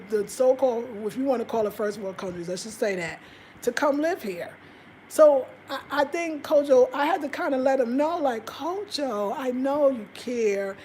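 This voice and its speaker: An American woman in her fifties chatting casually and expressively to viewers, telling stories in an animated, conversational way. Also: high voice, slight background noise, poor audio. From United States. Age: 50s. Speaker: vlogger. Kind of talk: casual livestream chat, storytelling to viewers.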